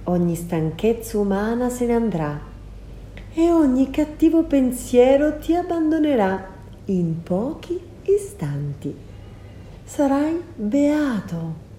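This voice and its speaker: A woman's voice: mid-range voice, very animated, takes it slowly, dark tone, slight background noise. A woman voicing a scheming sorceress in a storybook reading, sweet and mocking by turns. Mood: honeyed. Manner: sugary then mocking, cackling. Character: sorceress woman, scheming, cruel then pleading. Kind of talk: storybook character voice.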